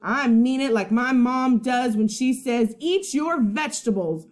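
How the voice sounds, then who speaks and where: A woman's voice: on the high side, animated, unhurried, darkish tone. A woman voicing an indignant, stubborn young boy in a storybook, with an emphatic, whiny growl. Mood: angry, indignant. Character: angry, stubborn young superhero-playing boy, Eugene.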